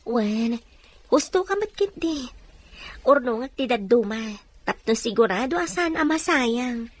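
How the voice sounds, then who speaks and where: A woman's voice: a little high, expressive, unhurried. An actress playing an agreeable, troubled woman who confides in her friend in a radio drama. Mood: resigned.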